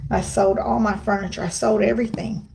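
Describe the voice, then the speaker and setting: A middle-aged American woman speaks to her followers in a chatty, emphatic way, drifting into asides. Her voice has a gravelly, dark tone throughout. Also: medium pitch, fairly even intonation, a little fast, slight background noise, rough recording. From United States. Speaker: vlogger. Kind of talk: casual vlog monologue.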